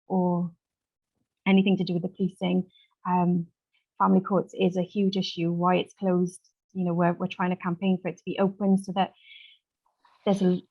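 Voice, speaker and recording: Low, even-toned woman on dull audio. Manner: earnest, halting, piecing sentences together. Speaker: support worker, survivor engagement officer. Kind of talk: unscripted contribution to a group discussion.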